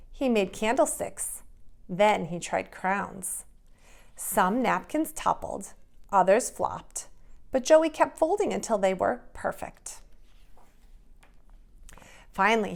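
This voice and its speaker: A woman reading aloud and giving simple instructions to young children in an expressive way, as a preschool teacher. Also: medium pitch, creaky.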